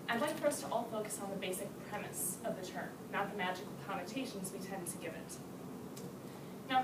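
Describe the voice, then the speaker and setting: Young woman, higher-pitched voice, earnest classroom speech, distant mic, heavy noise. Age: young adult. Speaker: student speaker and writer. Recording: roomy, distant, loud background noise.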